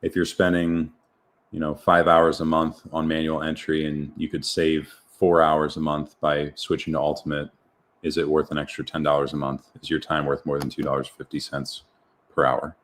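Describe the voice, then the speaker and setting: Man, live-stream co-host, very deep voice, calm, methodical explanations. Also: moderate pace. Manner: calm, explanatory, accountant-like reasoning. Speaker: co-host of a live product demo. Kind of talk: casual live-stream chat.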